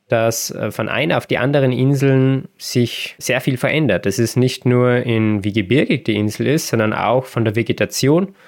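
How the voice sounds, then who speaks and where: A man hosting a podcast, telling travel stories in a relaxed, chatty way with small hesitations. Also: medium pitch. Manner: relaxed storytelling with occasional laughs and hesitations. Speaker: podcast host. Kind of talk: casual podcast chat.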